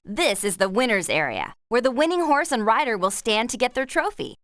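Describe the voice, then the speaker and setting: An actress playing a cheerful, helpful young woman horse rider, guiding a video game player in a clear, upbeat, encouraging way. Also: on the high side, sing-song, a little fast, fairly bright tone, no room sound, studio quality. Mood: friendly, instructive. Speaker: game lead character and tutorial guide. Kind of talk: scripted video game instruction and dialogue.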